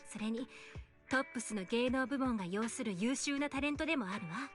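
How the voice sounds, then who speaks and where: High, quick anime game woman, acted by a woman. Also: close to an everyday voice. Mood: composed, assured. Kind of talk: Japanese video game voice acting. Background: game music.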